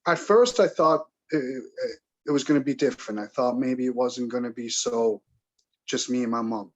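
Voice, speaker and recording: A man in his forties from England, answering interview questions in a measured, reflective way that halts now and then. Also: medium pitch, highs cut off. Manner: measured, reflective, halting at times. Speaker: guest.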